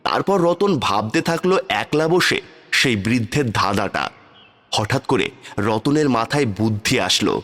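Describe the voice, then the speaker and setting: A man's voice: mid-range voice, lively intonation, normal speed, fairly bright tone. A man narrating a scripted cartoon fairy tale as its storyteller.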